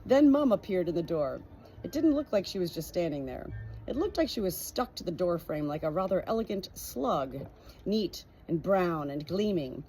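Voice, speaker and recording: A woman with a slightly husky voice narrating a storybook from a script. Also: lowish voice, animated, moderate pace, warm tone, soft background music, low-quality recording. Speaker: audiobook reader.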